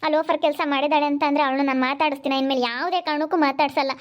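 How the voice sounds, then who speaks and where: A woman's voice: sits high, fairly even intonation, racing, lightly acted. A woman acting a pleading, devoted younger sister in a family drama, imploring and repeating herself. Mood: hurt, devoted. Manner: repetitive, imploring. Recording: dull lo-fi sound.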